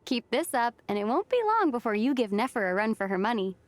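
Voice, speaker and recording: A woman's voice: fairly high pitch, sing-song, fast talker. A woman voicing an earnest, thoughtful young female traveler in a video game cutscene, with a sincere, pensive delivery. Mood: teasing. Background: background music.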